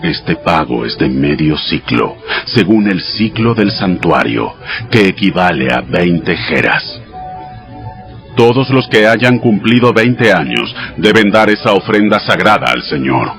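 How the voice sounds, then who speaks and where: An actor playing God as a solemn, commanding deity giving sacred laws in a dramatized audio Bible, in a measured manner. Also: male voice, deep voice, big pitch swings, heavily acted. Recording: voice over clear background music.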